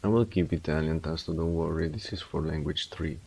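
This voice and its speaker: A man's voice: a bass voice, fairly quick, dark tone, slightly rough. A man streamer chatting casually and giving reflective, rambling advice on learning languages, often restarting his sentences. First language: English. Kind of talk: casual livestream chat.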